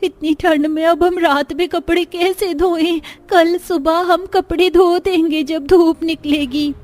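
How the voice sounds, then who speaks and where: High, slow pitiful little child acted by a woman. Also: fairly even intonation, a little mellow. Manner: childlike, pleading, whimpering. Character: frightened, freezing, pitiful small poor children. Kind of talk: Hindi cartoon story acting.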